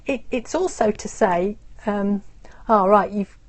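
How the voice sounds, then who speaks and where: A woman teaching English in a live online lesson, explaining slowly and patiently with many pauses and ums. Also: medium pitch. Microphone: phone or computer on a live stream.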